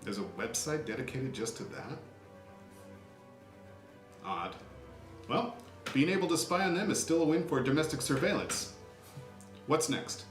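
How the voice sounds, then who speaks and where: Man playing a sketch boss, brisk and incredulous, roomy echo, faint music under him. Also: on the high side, animated. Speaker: agency boss in a comedy sketch. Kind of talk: sketch comedy acting. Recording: echoey home recording with music.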